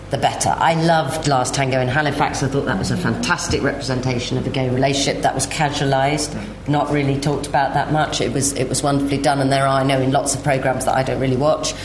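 A woman giving her opinions conversationally as a panellist in a live discussion. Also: rumbling low. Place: live festival panel in echoey room. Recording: echoey noisy room.

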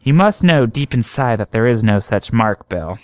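A man's voice: medium pitch, lively intonation, normal speed, darkish tone, dry recording, clean studio sound, close to an everyday voice. A man voicing a pompous, skeptical male doctor with a dismissive manner.

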